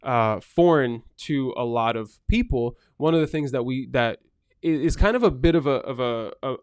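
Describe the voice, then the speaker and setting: A man's voice: medium pitch, animated, moderate pace. An earnest man talks in a casual podcast conversation about his work running a restaurant. He often repeats words as he gets a thought going. The sound is dry and close, with no room echo. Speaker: restaurant owner.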